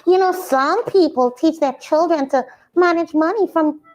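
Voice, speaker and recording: A woman's voice: extremely high pitch, moderate pace, a little mellow. A woman voicing Priya, an eager, caring Trinidadian schoolgirl in a puppet show, who talks earnestly and gasps at news. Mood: explaining. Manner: eager, gasping, earnest explaining.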